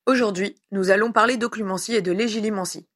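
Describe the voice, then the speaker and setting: A woman's voice: mid-range voice, fast talker, fairly bright tone, lightly acted. A woman voicing a formal male commander questioning trainees in a read-aloud story.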